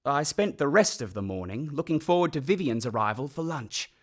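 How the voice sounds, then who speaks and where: A man's voice: medium pitch, very animated, a little fast. A man with an Australian accent acting as a wry, solemn narrator looking back on his orphaned boyhood in a radio play. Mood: wry recollection. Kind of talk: first-person scripted radio play narration.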